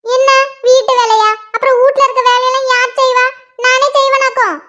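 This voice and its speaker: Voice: monotone, quick pace, pushed and bright. A performer voicing a nagging mother who scolds her two daughters over housework, on a dull lo-fi recording. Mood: scolding. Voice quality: shrill, nagging. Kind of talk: one-person comedy skit.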